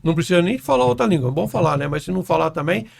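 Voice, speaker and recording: A man's voice: high-pitched, animated, racing, gravelly, husky. A middle-aged man, a carpenter guest, chatting earnestly on a podcast and often checking in with "understand?". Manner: earnest, keeps adding "entendeu?" and "tá?". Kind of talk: casual podcast chat.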